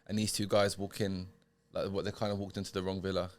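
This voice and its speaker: Guy in his 30s, low-ish voice, talks quick and rambly, like like like. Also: level tone. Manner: rambling, filler-heavy, lots of "like". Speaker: podcast guest, model and actor. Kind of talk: casual chat.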